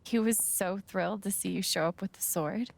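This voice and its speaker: An actress playing a gentle, caring young woman in a video game, soft-spoken and sincere in a naturalistic way. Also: mid-range voice, normal speed, gravelly, close to an everyday voice. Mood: warm, sincere.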